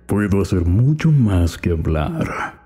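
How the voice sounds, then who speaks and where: A lewd, hungry mascot monster from a horror cartoon, with a Latin American Spanish accent. The voice is low and velvety dark, and it lingers slowly over words. It coaxes and wheedles in a creepy way. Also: male voice, very animated, faint music behind, exaggerated voice. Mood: menacing, seductive. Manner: slow, drawn-out, wheedling. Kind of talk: horror animation fandub acting.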